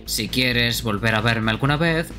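A man's voice: medium pitch, unhurried, pushed and bright. A man voicing a cool, wry young ghost hero in a red suit for a fan dub, with faint game music behind. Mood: wistful cool. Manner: playful, laughs often between lines. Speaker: streamer dubbing a game.

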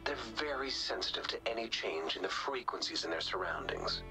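An actor plays a composed young researcher from a video game, laying out data in an even, courteous tone. His voice sits on the low side. Game music runs clearly behind the dialogue. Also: lightly acted, low-quality recording. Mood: calm. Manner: measured, polite, informative. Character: calm, analytical young male researcher.

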